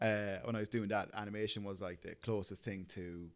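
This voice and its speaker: An Irish man in his thirties talks fast in a casual podcast chat. His voice sits low, and his sentences wander with plenty of filler as he goes. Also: steady tone. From Ireland. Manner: rambling, full of fillers like "you know". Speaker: guest, tattoo artist.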